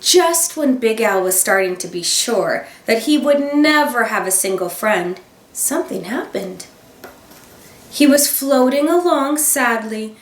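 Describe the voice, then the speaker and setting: A woman teacher reading a children's picture book aloud, expressive, with gasps and dramatic emphasis. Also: a little high. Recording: roomy sound, voice a bit far from the mic.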